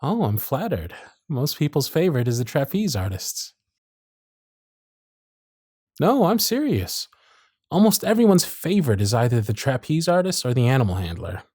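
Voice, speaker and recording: A man playing a charming, gentle circus magician who is smitten and privately anxious, speaking tenderly and softly in an ASMR romance roleplay. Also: medium pitch, moderate pace, pauses often, no echo, studio quality. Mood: warm, charming. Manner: soft-spoken, flattering, tender, with hushed asides. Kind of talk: ASMR romance roleplay with intimate inner monologue.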